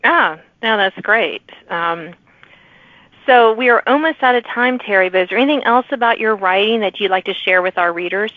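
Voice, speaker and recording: Lady podcast host chatting w a guest, normal pitch, sounds like a phone call. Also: moderate pace, dry recording. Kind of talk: conversational interviewing. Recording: tinny phone line, dry.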